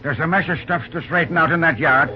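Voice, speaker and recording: An actor voices an eager young railroad hand who is bossy and full of folksy slang. His voice is fairly high, and the old radio recording sounds dull, with faint hiss. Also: fast talker, lightly acted. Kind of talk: 1940s radio acting.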